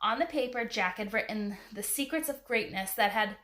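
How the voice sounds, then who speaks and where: A woman's voice: on the high side, animated, moderate pace, fairly bright tone. A woman reading a children's adventure story aloud as its narrator. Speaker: storybook reader. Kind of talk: children's book read aloud.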